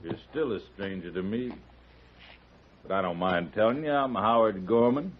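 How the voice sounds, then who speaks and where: Casting: man playing laconic frontier stranger, very low voice, guarded, vintage radio western. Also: moderate pace, close to an everyday voice. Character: guarded, laconic male rider of the Old West. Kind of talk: 1950s radio western acting. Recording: dull vintage radio broadcast.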